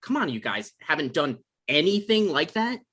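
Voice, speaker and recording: A man's voice: fairly high pitch, sing-song, moderate pace, bright, forceful tone, full character voice. A man playing a naive, defensive novelist in a comedy table read. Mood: uneasy, defensive.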